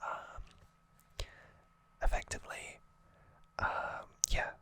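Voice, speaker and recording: Breathy whisper, ASMR host, slow pace, crisp bright hiss, halting phrasing, close mic. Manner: hesitant, halting phrasing with self-corrections. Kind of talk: whispered ASMR, rambling episode recap. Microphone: close ASMR microphone.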